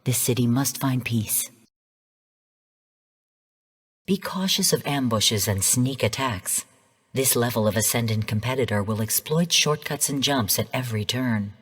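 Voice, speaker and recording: Give the game a stern female artificial intelligence, heard through long hall reverb. Her voice is low and a little husky. She briefs formally and analytically, in measured, composed phrases. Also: lively intonation, full character voice. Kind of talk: scripted game narration.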